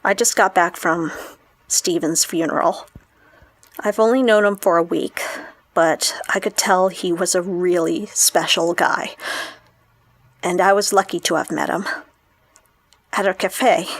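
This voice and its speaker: An actress playing a lonely, troubled young woman who confides in her vlog, with a husky, emotional, halting delivery in an audio drama. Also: lowish voice, no room sound. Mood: grieving. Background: music.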